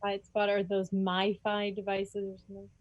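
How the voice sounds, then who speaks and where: A woman's voice: mid-range voice, completely flat delivery, normal speed, no room sound, lo-fi sound. A woman chatting casually as a club member in an online meeting, on a video call microphone. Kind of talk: casual chat.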